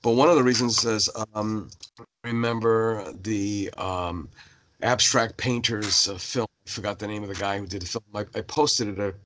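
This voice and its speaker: A middle-aged man with a fairly low voice hosts a casual conversation. He meanders, piling up fillers and restarts as he thinks aloud. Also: normal speed, fairly bright tone, slight background noise. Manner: rambling, hesitant with many fillers. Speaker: host. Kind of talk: casual interview chat.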